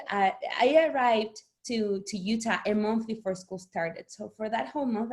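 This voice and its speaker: A middle-aged woman from Argentina, a native Spanish speaker, talking as an author guest in an interview, animated and laughing often. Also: a little high. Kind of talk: conversational interview.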